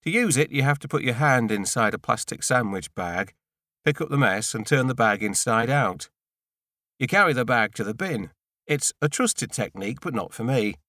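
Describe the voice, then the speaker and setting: A man's voice: mid-range voice, expressive, normal speed, bright, forceful tone, no echo. Man narrating a novel, dry studio sound. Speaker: audiobook narrator. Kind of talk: scripted first-person novel narration.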